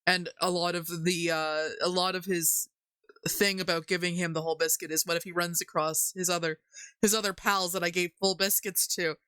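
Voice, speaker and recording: A woman's voice: fairly low pitch, level tone, moderate pace, sharp tone, clear, resonant tone, no room sound. A woman hosting a livestream, chatting casually and jokily about folk tales in a rambling way. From Canada. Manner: jokey, giggly, rambling. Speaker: livestream host.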